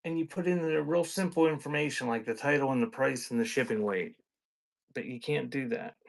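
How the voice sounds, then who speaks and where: Man, creaky voice, mid pitch. Live-sale host, loose unscripted chat, mutters while busy. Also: a little fast, poor audio. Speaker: live auction seller, host. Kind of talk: casual off-the-cuff livestream chat.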